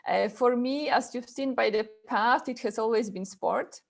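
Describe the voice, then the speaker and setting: A woman from Poland with a Polish accent gives an informal online presentation, speaking in halting phrases with repeated words. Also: on the high side, creaky. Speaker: webinar presenter, chapter president.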